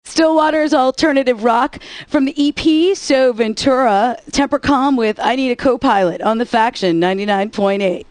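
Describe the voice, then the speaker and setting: Actress as a wry, higher-voiced woman radio DJ. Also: normal speed, few pauses, darkish tone, dry recording, close to an everyday voice. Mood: playful, wry. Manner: wry, sarcastic. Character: wry, upbeat alternative-rock radio DJ woman. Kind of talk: radio DJ patter and song introductions.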